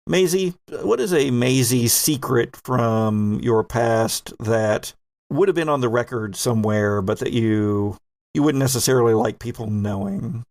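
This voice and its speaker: Gravelly-voiced male game master. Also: mid-range voice, a little slow, darkish tone, dry recording. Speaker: game master and podcast host. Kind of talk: narrating and questioning in tabletop game.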